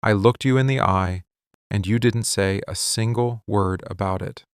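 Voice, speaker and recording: Guy doing a gravelly mafia boss for boyfriend asmr, possessive and wounded, clipped questions, really close dry sound. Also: lowish voice, dry recording, close to an everyday voice. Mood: hurt, accusing. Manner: deliberate, clipped, interrogating delivery. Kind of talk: boyfriend ASMR roleplay acting.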